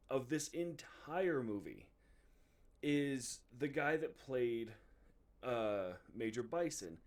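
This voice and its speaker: A man's voice: mid-range voice, far from the mic. A man hosting a video in a casual, opinionated ranting style, emphatic, exasperated and heavy on profanity. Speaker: video host reviewing movies. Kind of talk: casual opinionated rant to camera.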